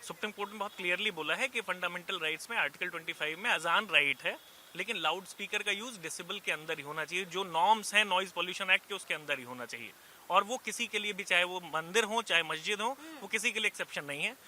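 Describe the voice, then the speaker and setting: A man's voice: sits high, fast talker, sharp tone, slight background noise, rough recording. A man arguing as a party representative, emphatic and insistent, dropping in English legal terms, with faint background ambience. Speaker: party representative on debate panel. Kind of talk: argumentative political debate.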